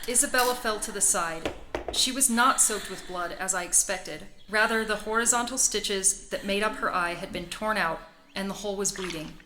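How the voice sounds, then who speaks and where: A woman's voice: medium pitch, normal speed, sharp tone, a little hiss or hum, close to an everyday voice, rough recording. An actress playing a frightened, haunted young woman in a radio play, with intense, dramatic delivery. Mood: haunted, dread. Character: haunted, frightened young Sicilian woman seeing bloody visions. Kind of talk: cinematic radio play, first-person narration and dialogue. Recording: music under the voice. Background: music soundtrack.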